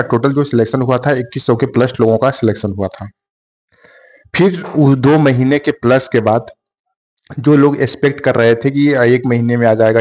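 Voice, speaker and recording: A man talks viewers through exam waiting-list updates in a casual explainer style. He often pauses on fillers, restarts his sentences and keeps calling his audience "guys". His voice sits at an ordinary middle pitch. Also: a little mellow. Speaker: exam coaching channel host.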